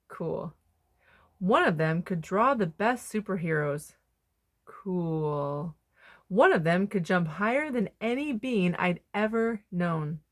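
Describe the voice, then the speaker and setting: A woman reading a children's picture book aloud, expressively, with dramatic pauses and emphasis. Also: fairly low pitch. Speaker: storybook reader.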